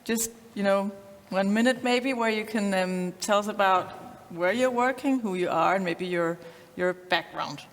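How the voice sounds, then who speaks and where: A woman's voice: medium pitch, measured pace. A woman moderating a panel discussion on a concert hall stage, hesitant and full of fillers. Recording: long concert hall reverb.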